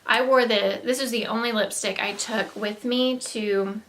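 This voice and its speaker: Bright, creaky-voiced Southern US woman vlogging. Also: fairly high pitch, fairly even intonation, unhurried, low-quality recording. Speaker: beauty vlogger. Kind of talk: casual chat to camera, product haul review.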